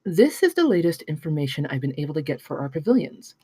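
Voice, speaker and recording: Lady reading as a practical researcher, persuasive, lowish mellow voice, a little husky. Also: very animated, fast, no echo, full character voice. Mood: businesslike. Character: pragmatic, persuasive female researcher named Peggy, unflappable. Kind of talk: table read of a screenplay.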